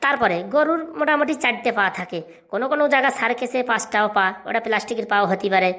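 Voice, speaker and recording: Cocky, quick-talking overgrown schoolboy, rural Bengali accent acted. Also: medium pitch, pushed and bright, natural voice. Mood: proud reciting. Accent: rural Bengali dialect. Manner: boastful, rattling off answers. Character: cocky, touchy overgrown schoolboy, older than classmates. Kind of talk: comic cartoon dubbing.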